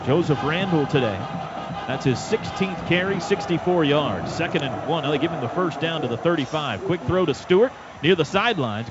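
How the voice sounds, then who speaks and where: A man with an American accent calling a live football game on radio as the play-by-play announcer. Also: a little high. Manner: shouts during big plays. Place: stadium radio booth. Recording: dull lo-fi radio, loud stadium noise.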